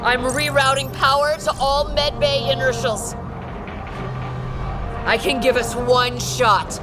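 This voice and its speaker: Resolute female ship captain, high and deliberate, urgent command, dramatic game scene with music. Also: steady tone. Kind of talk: dramatic sci-fi game acting. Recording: loud game music behind the voice.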